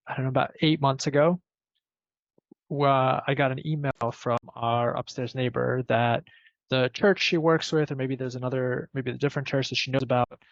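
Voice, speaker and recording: Gravelly man casually telling a story. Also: medium pitch, no room sound. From Ukraine. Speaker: program participant telling his story. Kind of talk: casual personal storytelling on a group call. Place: video call. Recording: dull, close, dry video-call audio.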